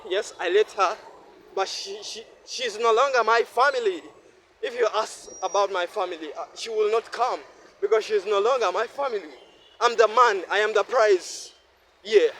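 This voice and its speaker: A man in his twenties from Uganda, talking to himself in a repetitive, preachy monologue while walking a city street at night, sweaty from walking fast. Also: high-pitched, fairly bright tone, slight background noise. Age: 20s. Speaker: vlogger. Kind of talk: rambling night-walk monologue.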